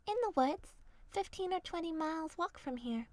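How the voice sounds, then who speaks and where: Lady doing a little storybook girl, sweet n naive, high polite voice, dry close sound. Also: animated, normal speed, a little mellow, dry recording. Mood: polite, trusting. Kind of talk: fairy tale storybook voice.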